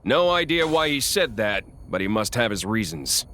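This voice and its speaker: A man's voice: fairly low pitch, big pitch swings, moderate pace, bright, forceful tone. A man playing a laid-back, smug male villain in a video game cutscene. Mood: casual, sly.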